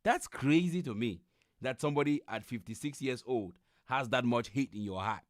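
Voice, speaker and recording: A man's voice: medium pitch, normal speed, no room sound, clean studio sound. Man reacting casually on a livestream. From Cameroon. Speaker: livestream host reacting to a video. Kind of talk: casual live commentary.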